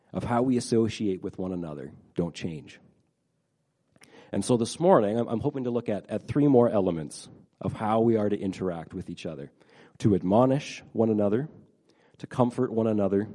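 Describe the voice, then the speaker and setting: A middle-aged man preaches to his congregation in a relaxed, conversational way, his voice slightly nasal from a head cold. His tone is mellow and a bit low. He stumbles and repeats words, filling gaps with plenty of ums. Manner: halting, repeats words, many fillers. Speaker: pastor. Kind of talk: casual sermon to a congregation.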